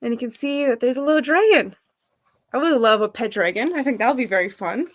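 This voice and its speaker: A woman's voice: a little high, fast. A woman reading a picture book as a guest, friendly and chatty, with casual asides to the audience. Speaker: drag performer, guest storybook reader.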